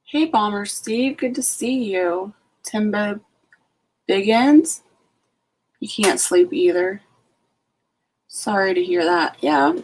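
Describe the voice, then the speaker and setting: A woman's voice: medium pitch, takes it slowly, pauses often, darkish tone, breathy edge, low-quality recording. A sleepy woman hosting a late-night casual live stream from home, greeting each viewer by name. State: sleepy, just out of bed. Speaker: homestead channel host.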